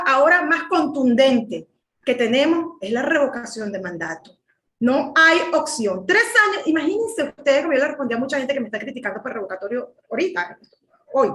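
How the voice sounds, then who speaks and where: Venezuelan woman commentator, high-pitched, emphatic and indignant, echoey room. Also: fairly bright tone. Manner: indignant, emphatic, repeats words when worked up. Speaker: political commentator. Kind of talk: heated political commentary.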